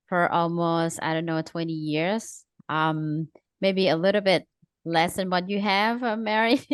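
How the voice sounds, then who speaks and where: Clear-voiced woman from Thailand. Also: fairly low pitch, dry recording. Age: middle-aged. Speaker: panelist. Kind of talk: polite spoken self-introduction.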